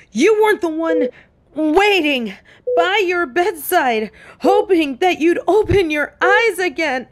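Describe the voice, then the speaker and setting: A woman acting a fiercely protective female field agent in an audio roleplay, scolding someone she loves in an emotional, emphatic way. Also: very high-pitched. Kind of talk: intimate dramatic audio roleplay monologue.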